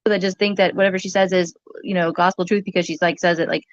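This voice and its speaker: A soft-voiced woman talking casually as in a vlog, halting and rambling. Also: on the low side, fairly even intonation, dry recording, lo-fi sound.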